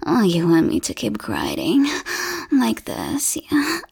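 Breathy whispering woman, slow and husky. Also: a little high, wildly swooping pitch, a little mellow. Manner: breathy whispering, teasing, moaning. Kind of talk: whispered adult audio roleplay.

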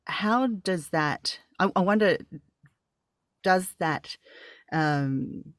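A man's voice: high-pitched, extremely slow, a bit bright, no echo, studio quality. A man with an Australian accent hosting a casual interview, asking rambling, hesitant questions full of fillers. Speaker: podcast host, audio creator.

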